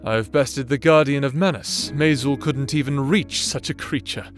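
A man's voice: medium pitch, close to an everyday voice. A man playing a doting, theatrical necromancer husband in a romantic ASMR audio drama, teasing and formal in his diction. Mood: musing. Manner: teasing, slow, formal old-fashioned diction. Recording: soft instrumental music bed behind voice.

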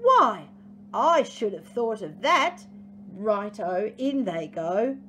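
A woman's voice: mid-range voice, very animated, takes it slowly, fairly bright tone, a little hiss or hum. A woman voicing a boastful, gleeful male dingo in a storybook, theatrical and sing-song.

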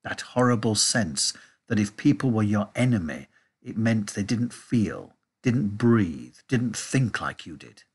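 A man reading a children's storybook aloud in a measured, expressive way. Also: lowish voice, husky. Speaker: audiobook reader. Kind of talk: storybook narration.

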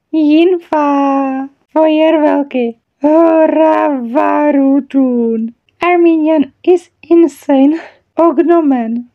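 A woman with hosting a casual vlog, slowly and hesitantly sounding out foreign words, on a dull lo-fi mic. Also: sits high. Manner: slow, careful, hesitant pronunciation of foreign words. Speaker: channel host. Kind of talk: casual vlog reading word list.

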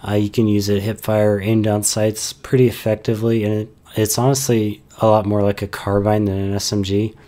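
Young man, game commentary voice-over, low voice, flat delivery, slightly stuffy from a cold, calm, lots of "uh". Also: normal speed, hardly any pauses. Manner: calm, explanatory, many "uh" fillers. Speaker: gameplay commentator.

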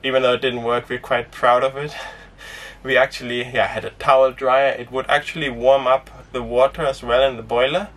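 A young man who grew up speaking Danish, chatting casually to camera inside a small sailboat, relaxed and rambling with lots of fillers. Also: medium pitch, normal speed, far from the mic. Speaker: sailing vlogger. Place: inside a small sailboat cabin and cockpit tent.

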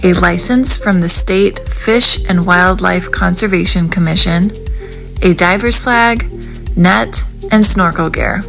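Gravelly-voiced woman narrating a travel vlog. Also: on the low side, low-quality recording. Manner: calm, informative, measured. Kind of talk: scripted voice-over narration. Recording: voice-over with loud music behind.

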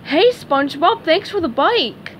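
A woman's voice: extremely high pitch, sing-song, unhurried, a bit bright, slight background noise, pitched above the performer's own voice, full character voice. A woman voicing a brash, chatty female fish in a cartoon storybook. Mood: breezy, chatty.

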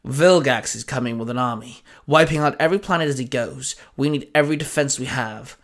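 A man's voice: mid-range voice, brisk, a bit bright, lightly acted. A man voicing a determined young heroine in a narrated story, with a pressing, snappy manner. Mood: urgent.

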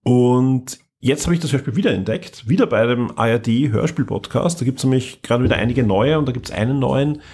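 A man co-hosting a podcast about audio dramas, chatting in a relaxed way with some stumbles. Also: mid-range voice, moderate pace, hardly any pauses, husky. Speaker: podcast co-host.

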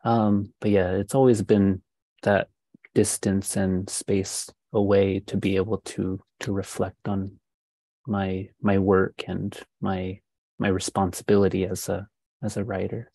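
A man's voice: low-pitched, level tone, unhurried, mellow, no echo. A man in his thirties, a poet speaking hesitantly and reflectively on a panel. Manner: hesitant, pensive. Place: plain room, indoors. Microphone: earphone mic on video call.

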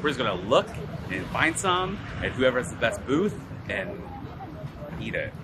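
A man's voice: mid-range voice, big pitch swings, normal speed, background noise. A man in his thirties chatting playfully as a travel vlogger, filming himself at arm's length outdoors and in a car. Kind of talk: casual travel vlog. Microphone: handheld camera at arm's length.

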